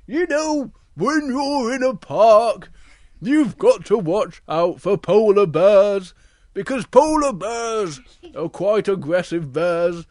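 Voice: mid-range voice, expressive, a little mellow, no room sound, studio quality, voice pushed up. A storyteller voicing a big, protective, cautious male bear who warns and scolds emphatically in a children's story. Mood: cautioning. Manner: emphatic, slow, admonishing.

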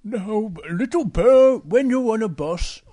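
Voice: medium pitch, lively intonation, takes it slowly, dark tone, voice pushed up. A storyteller playing a cautious big bear who gives grown-up warnings in an instructive manner. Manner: instructive, admonishing.

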